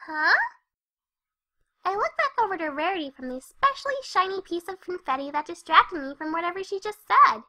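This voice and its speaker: A woman's voice: very high voice, animated, fairly quick, pushed and bright, full character voice. A woman voicing a hyper, cheerful young female cartoon pony who narrates her story in a chatty, scatterbrained way. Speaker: first-person narrator of a fan fiction reading. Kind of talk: animated character narration.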